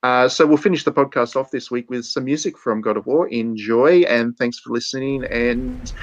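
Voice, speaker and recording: A man's voice: medium pitch, normal speed, lo-fi sound. A man hosting a podcast in a casual, conversational discussion style. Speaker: podcast host.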